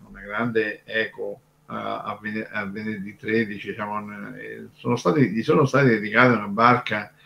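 A man hosting a casual talk on radio history, speaking in a halting, digressive way and often rephrasing himself. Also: on the low side, steady tone, gravelly, pushed and bright, slight background noise. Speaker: radio show host.

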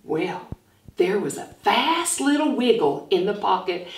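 A middle-aged woman teaching phonics and telling a story to young children, animated and carefully enunciated. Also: mid-range voice, unhurried. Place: small classroom, indoors. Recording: roomy classroom, mic at a distance.

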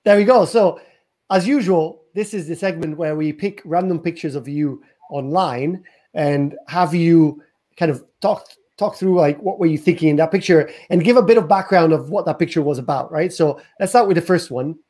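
Soft-toned man co-hosting a video call. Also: on the high side, moderate pace. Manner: friendly, hesitant with fillers. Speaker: co-host. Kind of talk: hosting a live roundtable. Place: office room.

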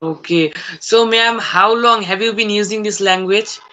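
A man's voice: high voice, a little slow, pushed and bright, muffled recording. A teenage boy whose first language is Bangla, practicing English conversation on a video call from home, hesitant with many fillers. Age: teens. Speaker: student learner. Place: room at home. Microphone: phone on a video call.

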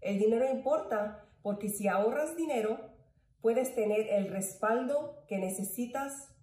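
A woman's voice: on the high side, measured pace, a little mellow. A woman in her thirties giving an educational presentation to camera from a room at home. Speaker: employee volunteer presenter. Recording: echoey room, distant mic.

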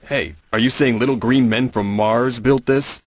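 An actor playing a tough, wry commando major in a video game, with a gruff delivery. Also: male voice, medium pitch, fairly even intonation, a little slow, a little hiss or hum, natural voice. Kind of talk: video game cutscene acting.

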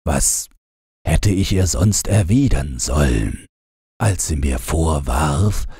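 A man's voice: very deep voice, animated, slow, creaky, dark tone, breathy edge, no echo, clean studio sound, exaggerated voice. A man voicing a grumpy but affectionate king and husband in a storybook fairy tale. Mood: self-satisfied. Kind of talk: storybook character voice.

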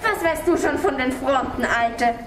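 A woman playing a frustrated young girl soldier who passes as a boy, in dramatic stage declamation. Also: high-pitched. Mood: bitter. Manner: slow, dramatic delivery. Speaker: performer in a student music theatre piece. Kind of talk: experimental opera stage declamation. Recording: echoey, distant, clearly noisy room.

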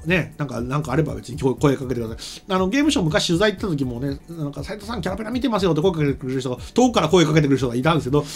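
A man's voice: a little high, animated, very fast, hardly any pauses, soft background music. A middle-aged man hosting a show, chatting casually and thinking aloud as he rambles through announcements. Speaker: show host and scriptwriter. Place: small studio set, indoors. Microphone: desk microphone on a stand.

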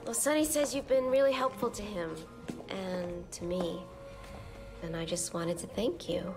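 A woman's voice: mid-range voice, unhurried, natural voice. An actress playing an anxious young woman who hesitates and stammers. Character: nervous young woman, a gangster's girlfriend. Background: game score music.

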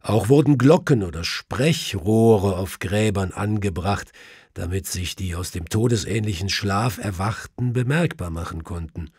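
A man voicing a cautious, learned gentleman doctor, explaining in measured sentences in an audiobook. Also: on the low side, slightly rough, dry recording, clean studio sound.

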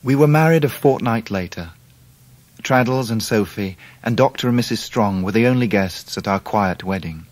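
An actor playing a gentle, devoted English gentleman recalling his life in a radio drama, with measured literary phrasing. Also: lowish voice, dry recording, close to an everyday voice. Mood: reflective, tender. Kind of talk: 1990s radio drama narration.

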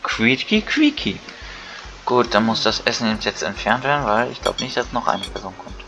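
A man's voice: lowish voice, very animated, normal speed, vocal fry. Man casually commenting on a video game. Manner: colloquial, thinking aloud. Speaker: gaming video creator. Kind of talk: casual let's play commentary. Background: game music.

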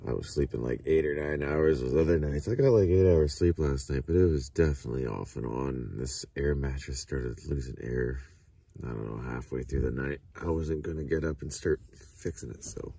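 A man in his thirties talking casually to a handheld camera about his travels, chatty and relaxed, full of fillers. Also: rumbling low, normal speed, vocal fry, husky, dry recording. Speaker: travel vlogger. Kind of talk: casual vlog talk to camera. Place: inside an SUV and outdoors by a coastal beach. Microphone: handheld phone or camera at arm's length.

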